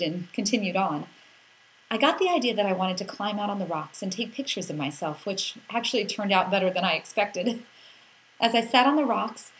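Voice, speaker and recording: A woman reading a scripted first-person story as an expressive narrator who acts out the exclamations in it. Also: medium pitch, poor audio.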